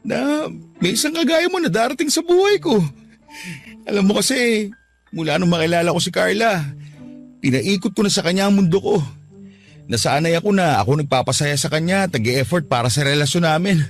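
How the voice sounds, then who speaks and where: A man's voice: high-pitched, lively intonation, fairly quick, faint music behind. A man voicing a heartbroken young man who speaks emotionally and gratefully about a new love, in radio drama style.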